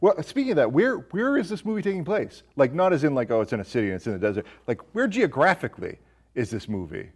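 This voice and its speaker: A man's voice: a little high, sing-song, quick pace, husky. A man hosting a podcast, chatting casually about movies with jokey, teasing banter. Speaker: podcast host.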